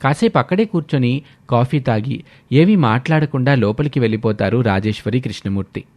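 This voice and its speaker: A man's voice: medium pitch, lively intonation, a little fast, darkish tone. A man reading a scripted story as a storyteller.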